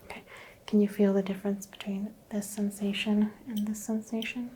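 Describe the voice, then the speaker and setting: A woman whispering gently as a doctor in an ASMR roleplay, guiding a patient through a skin exam. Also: mid-range voice, flat delivery, normal speed, a little hiss or hum. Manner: soft whispering, gentle step-by-step instructions. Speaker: ASMR creator playing a doctor.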